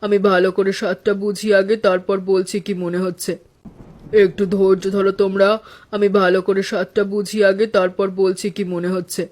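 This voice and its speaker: A woman voicing a calm, wise elderly mother-in-law in a Bengali cartoon, speaking in a measured way. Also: medium pitch, close to an everyday voice. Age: older.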